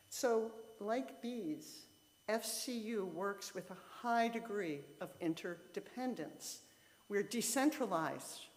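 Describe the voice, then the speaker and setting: A woman's voice: mid-range voice, a little mellow. A woman giving an informal lay sermon in a conversational, explanatory way, with short emphatic statements. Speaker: lay speaker at a church service. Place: church sanctuary, speaking to a congregation. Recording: reverberant hall with long echo.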